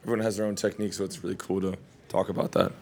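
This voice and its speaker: A man from Hawaii, an actor, answering questions at a Q&A in loose, rambling, animated anecdotes. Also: low-pitched, very fast, a little hiss or hum. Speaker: actor and film guest at a Q&A. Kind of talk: casual Q&A storytelling.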